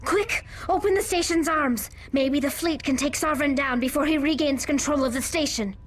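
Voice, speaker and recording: Actress as pragmatic, insistent sci-fi squadmate, slightly high register, game dialogue over light score. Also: female voice, normal speed, soft background music, natural voice. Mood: urgent. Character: urgent, hard-headed squadmate advising the commander. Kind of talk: video game cutscene acting.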